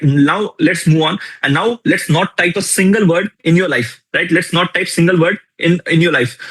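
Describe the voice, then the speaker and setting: A young man with an Indian accent teaches a live workshop with a lot of energy. He repeats his key lines and keeps asking the audience questions. His voice sits slightly high and has a husky edge. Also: fairly bright tone, poor audio. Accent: Indian English. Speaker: workshop instructor. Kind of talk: live online tool demonstration.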